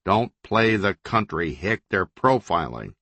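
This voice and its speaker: A blunt, commanding governor, as a male narrator voices him in his own low, dry voice. He talks with tight control and shifts into profane orders, with a lively rise and fall of pitch in an audiobook reading. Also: measured pace, dry recording, exaggerated voice. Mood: cold, even. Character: hot-tempered male state governor, forceful.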